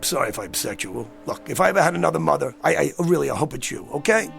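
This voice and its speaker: A man's voice: a little high, expressive, racing, creaky, a bit bright, exaggerated voice. A man playing a brash, argumentative hard drinker in a radio drama, with a pushy, sarcastic way of talking. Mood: flippant. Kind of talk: dramatized radio-play dialogue. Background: faint music.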